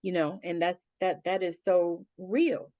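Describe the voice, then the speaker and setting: A woman's voice: fairly low pitch, fairly even intonation, measured pace, dark tone, dry recording, lo-fi sound. A middle-aged woman hosting a casual chat, blunt and colloquial, peppering her talk with "you know". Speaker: interview host.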